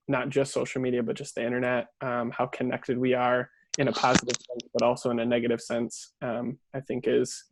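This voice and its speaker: A young man speaks on a discussion panel in a hesitant way, stopping often on "um." His pitch stays flat and even. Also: gravelly, dry recording, poor audio. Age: young adult. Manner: hesitant, many "um" pauses.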